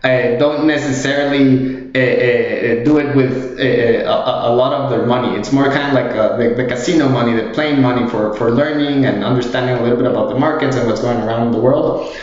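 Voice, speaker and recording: A man's voice: mid-range voice, steady tone, brisk, a little mellow. Hesitant Guatemalan man in an echoey room. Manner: hesitant, many fillers and restarts, casual phrasing. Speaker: guest, fund manager. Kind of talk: podcast interview. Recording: very echoey, dull remote audio.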